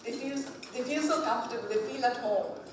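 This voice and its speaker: A woman from northeast India, giving a formal speech as a state government representative, relaxed and peppered with "you know". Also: on the high side, fairly quick. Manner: conversational, with "you know" fillers. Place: hotel function suite. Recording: echoey hall, distant mic, crowd noise.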